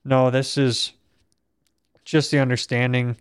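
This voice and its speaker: A young man from Minnesota, hosting a casual conversation and speaking in a steady, reflective way, with a voice that is not very strong on breath. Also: medium pitch, takes it slowly, clean tone, no room sound, clean studio sound. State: weak breath support. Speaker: podcast host.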